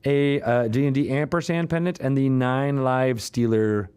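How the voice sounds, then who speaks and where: A man hosting a podcast, giving casual announcements and banter in a halting, rambling way, often correcting himself. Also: mid-range voice, darkish tone, clear, resonant tone. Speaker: podcast host.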